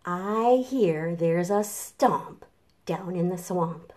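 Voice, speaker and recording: A woman's voice: fairly low pitch, extremely slow, a little mellow. A middle-aged woman presenting a preschool storytime as a children's librarian, talking simply and encouragingly to children and their parents. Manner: simple, encouraging talk aimed at small children. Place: home kitchen, indoors. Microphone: camera a short distance away.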